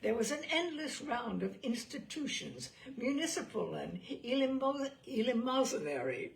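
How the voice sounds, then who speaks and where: A woman reading a literary short story aloud in an expressive, measured and deliberate way, with theatrical phrasing. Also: fairly high pitch, creaky.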